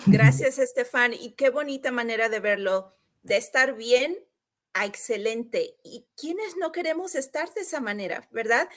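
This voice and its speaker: A woman with a fairly high voice hosts an online video call. She talks in an upbeat, encouraging way, often restarting her phrases as she pitches a business opportunity. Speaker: host and business coach.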